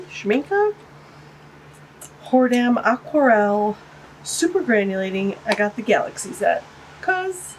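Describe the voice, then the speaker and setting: A woman's voice: mid-range voice, slight background noise, rough recording. A woman hosting a casual art-supply unboxing vlog, chatty and gushing, with a stuffy, congested voice. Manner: gushing, chatty, cooing over tiny things. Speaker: art channel host. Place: home art studio, tabletop overhead shot. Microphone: camera-mounted shotgun mic.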